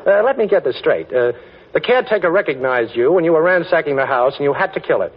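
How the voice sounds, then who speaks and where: An actor playing a quick-witted, confident amateur detective in a 1940s radio drama, breezy and wry, on a dull old recording. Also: male voice, on the high side, expressive, breathy edge. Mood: cool, wry.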